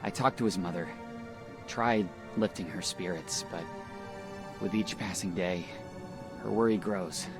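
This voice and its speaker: An actor playing a concerned, reluctantly helpful Spartan comrade in a video game, with music playing behind. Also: male voice, deep voice, measured pace, pauses often. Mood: somber.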